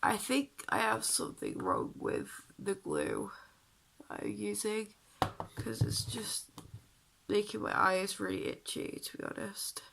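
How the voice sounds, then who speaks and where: A young woman with a deep, husky voice, sounding ill and croaky, chatting casually to the camera about her makeup and feeling sick. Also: huge pitch swings, slow pace, a bit bright, a little hiss or hum. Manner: chatty and self-deprecating, sighing. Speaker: beauty vlogger.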